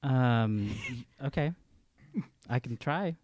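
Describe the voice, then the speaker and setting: A man's voice: medium pitch, slow, darkish tone, dry recording, studio quality. A man playing a tabletop role-playing game, improvising his character's dialogue in a casual, conversational way.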